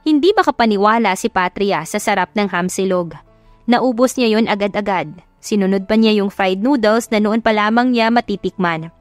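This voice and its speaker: A woman's voice: mid-range voice, no room sound. Woman narrating romance, dry studio-like sound. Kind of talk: scripted audio romance narration. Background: music.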